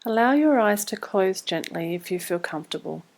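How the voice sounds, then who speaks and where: A woman leading a guided body scan meditation, giving scripted instructions in a calm, measured, soothing way. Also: mid-range voice.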